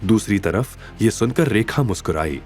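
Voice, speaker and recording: Expressive male narrator, lively pitch. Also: moderate pace, faint music behind. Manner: expressive, suspenseful. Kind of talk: dramatic scripted story narration.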